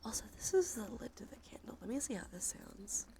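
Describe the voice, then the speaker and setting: A soft-spoken woman chatting on a cozy ASMR livestream, with a creaky voice that often slips into a breathy whisper. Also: a little high, big pitch swings, fast. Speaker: streamer.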